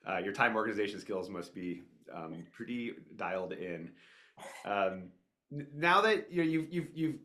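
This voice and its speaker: Male interview host, lowish voice, relaxed questions, frequent tag questions. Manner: encouraging, asks leading questions ending in "right?". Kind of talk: casual interview conversation.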